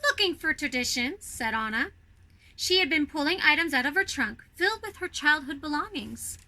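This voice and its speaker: A woman reading a storybook to children as a snow queen, expressive and speaking directly to her young listeners. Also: high voice, moderate pace.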